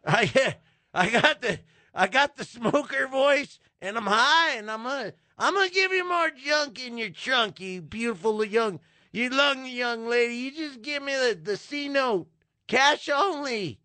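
A man putting on a raspy smoker-voiced shady woman. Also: very high-pitched, lively intonation, measured pace, bright, forceful tone, dry recording, exaggerated voice. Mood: sleazy, cajoling. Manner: sleazy, reassuring patter to a client. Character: raspy stoned woman doing back-alley injections.

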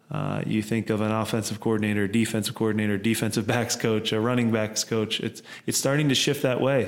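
A middle-aged man, a soccer head coach, answering interview questions at length, rambling with many fillers and restarts. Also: lowish voice.

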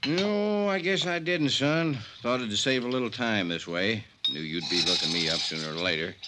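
An actor playing a folksy, wary older small-town sheriff who drawls deliberately in a 1950s radio drama. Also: male voice, medium pitch, heavy background noise, lightly acted. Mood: folksy, sociable.

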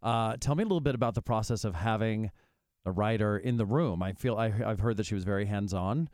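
A man's voice: fairly low pitch, quick pace, a little mellow, no echo, clean studio sound. A man hosting a podcast, interviewing in a conversational way with wry asides. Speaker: podcast host.

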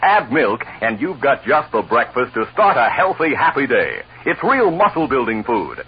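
A man's voice: medium pitch, very animated, normal speed, a little hiss or hum. A man announcing and narrating a 1950s radio show in a dramatic, emphatic style. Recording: dull old radio recording.

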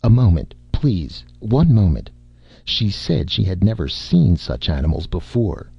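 A man reading aloud, voicing a composed astronomer who speaks in a measured, insistent way, in a slightly muffled dry recording. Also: low voice, big pitch swings, vocal fry, slightly rough, no echo, heavily acted. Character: composed, probing male astronomer.

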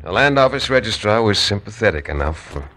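An actor playing a steady, dry-witted frontier lawyer who narrates a 1950s radio drama in a wry, measured way. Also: male voice, fairly low pitch. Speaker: narrator and lead. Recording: noisy old radio recording.

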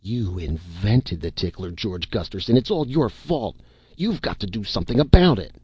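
A man voicing a terrified, frantic grown man whose voice strains and goes shrill as he pleads. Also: very animated, normal speed, warm tone, slightly rough, no echo, exaggerated voice. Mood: accusing. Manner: pleading, breaks into high frantic cries.

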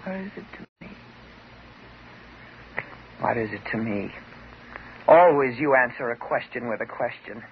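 An American actress playing an intense, unstable psychotherapy patient, theatrical and emotionally volatile, in a 1950s radio drama. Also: female voice, a bass voice, expressive, measured pace, long pauses, full character voice. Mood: mocking. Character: intense, unstable woman psychotherapy patient in love with her doctor. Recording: dull, hissy 1950s radio recording.

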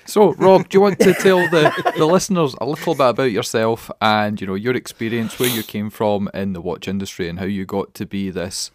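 A man's voice: on the low side, fairly quick, few pauses, slight background noise. A Scottish man co-hosting a podcast, making dry wisecracks in casual chat. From Scotland. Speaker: podcast co-host.

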